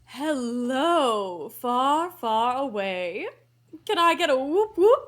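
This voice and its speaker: A woman's voice: sits high, sing-song, takes it slowly, exaggerated voice. A woman reading a flamboyant, scheming fairy godmother in a live table read, with a showy sing-song delivery.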